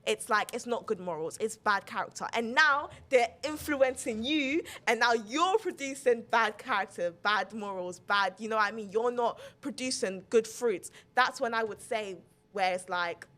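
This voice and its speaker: A young woman hosting a podcast, chatting casually about faith in long, animated run-on stretches full of "like". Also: on the high side, normal speed, few pauses. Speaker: podcast host.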